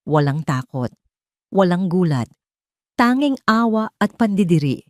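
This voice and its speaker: A woman with a Filipino accent narrating a scripted drama in an expressive, suspenseful storytelling way. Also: fairly low pitch, a little slow, no echo, studio quality.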